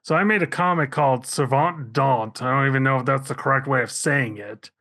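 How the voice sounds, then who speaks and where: A man's voice: medium pitch, sharp tone. A male guest in a casual group chat who teases the others and asks them questions. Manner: teasing, quick to laugh, asks the others questions. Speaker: movie club guest.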